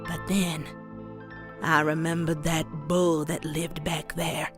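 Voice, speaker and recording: A woman voicing a stern, bitter old farm grandmother pony in a comic dub, with a Southern US accent and folksy, deliberate delivery. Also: low-pitched, level tone. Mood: grave, confessional. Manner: folksy, slow, deliberate storytelling. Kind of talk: dramatic character acting in comic dub. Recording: dramatic music behind.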